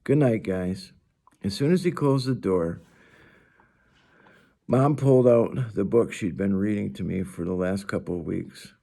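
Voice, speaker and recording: Male read-aloud narrator for children's fiction, low register, gravelly fry. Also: a little slow, warm tone. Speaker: reader of a children's novel.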